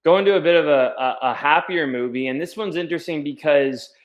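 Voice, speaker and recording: A man's voice: a little high, brisk. A man hosting an interview, asking his guest questions in a casual, encouraging way. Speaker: podcast host.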